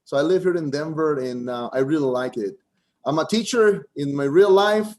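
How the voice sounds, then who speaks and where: A man with a Costa Rican Spanish accent speaks in a somewhat high voice. He rambles through a stand-up set over a video call, stumbling, restarting, and filling gaps with "man." Spanish is his first language. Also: darkish tone. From Costa Rica. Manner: halting, frequent restarts, keeps saying "man". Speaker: first-time open mic comedian.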